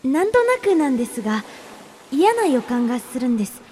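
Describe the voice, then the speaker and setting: A woman acting a gentle, courteous young pen pal in a radio drama, playfully secretive and softly teasing. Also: high voice, big pitch swings, fairly quick, a little hiss or hum. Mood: uneasy. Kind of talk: radio drama dialogue, reading letters aloud. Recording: long reverb, music behind.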